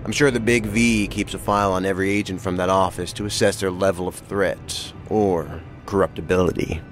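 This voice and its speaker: Man playing a very low-voiced seasoned investigator. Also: steady tone, faint music behind, lightly acted. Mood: measured, thoughtful. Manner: measured, reflective, terse. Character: seasoned, thoughtful male federal investigator. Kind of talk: audio drama acting.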